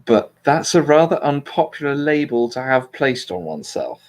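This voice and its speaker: A man's voice: mid-range voice, sing-song, moderate pace. A British man with a mid-range voice hosts a casual political discussion. He talks bluntly, swears freely and tends to put himself down as he gives his opinions. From Britain. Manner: blunt, sweary, self-deprecating. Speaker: host.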